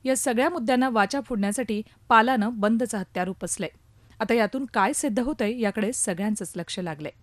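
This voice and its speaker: High-voiced woman narrating news, dry sound. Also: brisk, no echo. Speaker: news reporter. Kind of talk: scripted news report narration.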